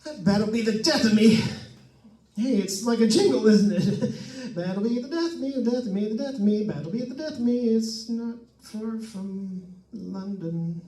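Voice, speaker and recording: A high-voiced actor playing a nervous man stuck in a haunted house, rambling and joking to listeners as in an old radio thriller. The sound is echoey and set well back from the mic. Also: unhurried, warm tone. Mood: startled, jittery, then unhinged rambling. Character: jittery wisecracking man alone in a haunted house. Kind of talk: old-time radio suspense drama acting. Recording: echoey room, distant mic.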